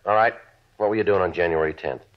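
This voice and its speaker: Man playing very deep, deadpan radio police detective. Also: fast, natural voice. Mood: terse, pressing. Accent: American. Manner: deadpan, terse. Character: clipped, businesslike male police detective, coolly relentless. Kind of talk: 1950s radio drama acting. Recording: dull vintage radio recording.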